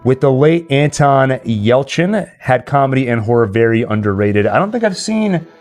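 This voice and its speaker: A man's voice: medium pitch, expressive, normal speed, a little mellow. Enthusiastic man hosting a casual live stream. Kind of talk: casual chat about horror films, reading viewer comments. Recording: music playing behind the voice. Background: music.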